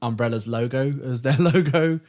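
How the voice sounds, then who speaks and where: A man's voice: medium pitch, moderate pace, dark tone. A man co-hosting a podcast in casual chat. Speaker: podcast co-host.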